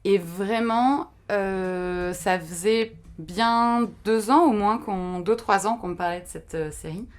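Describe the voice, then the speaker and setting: A woman's voice: mid-range voice, slow, hardly any pauses. A woman on a podcast panel, chatting casually and animatedly with many fillers as she recommends a series.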